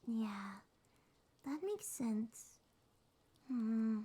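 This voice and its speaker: A woman voicing a shy, sweet young succubus monster girl in a soft, breathy, hesitant ASMR roleplay. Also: a little high, sing-song, very slow, exaggerated voice. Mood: sheepish, soft. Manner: hesitant, gentle, slightly stammering.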